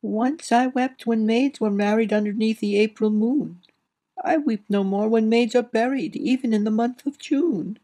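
Man putting on a high, creaky, stony-hearted woman. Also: normal speed, dark tone. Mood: wistful. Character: stony, weary, dry-eyed witchlike woman, coldly sorrowless.

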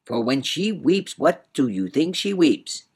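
A man's voice: on the high side, big pitch swings, a little slow, a little mellow, husky, pitched above the performer's own voice, full character voice. A man voicing a whimsical, boastful, riddling old man in a storybook reading, with proud, sing-song, muddled wordplay. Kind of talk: storybook character voice in audiobook reading.

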